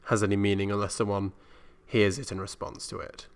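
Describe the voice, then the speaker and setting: A man's voice: lowish voice, level tone, fairly quick, smooth. Rambling young man, casual audio diary. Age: young adult. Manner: rambling, self-deprecating, mildly sweary. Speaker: vlogger. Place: indoor university communal area at night.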